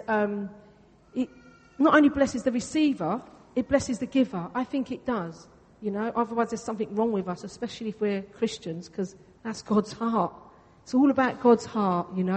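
A woman whose first language is Greek, preaching a sermon in a church in a chatty way, repeating phrases for emphasis. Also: on the high side. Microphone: venue sound system. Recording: reverberant church hall.

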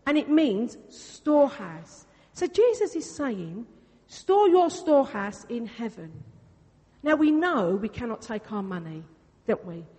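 A woman's voice: fairly high pitch, sing-song, a little slow, warm tone, breathy edge. A woman whose first language is Greek, preaching a sermon in a church in a chatty way, repeating phrases for emphasis. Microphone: venue sound system. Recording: reverberant church hall.

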